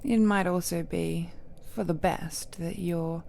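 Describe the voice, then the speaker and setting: Casting: woman as ancient cursed goddess, possessive romantic villain. Unhurried, mellow, intimate delivery that sinks into breathy whispers. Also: lowish voice, fairly even intonation, slight background noise. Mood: musing, condescending. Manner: slow, intimate, often drops to whispers. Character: ancient cursed deity, possessive, tender yet menacing villainess. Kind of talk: romantic villain audio roleplay monologue.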